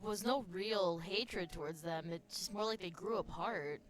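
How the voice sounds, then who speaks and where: A woman's voice: lowish voice, measured pace. A woman giving casual reaction commentary as a video game streamer. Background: game music.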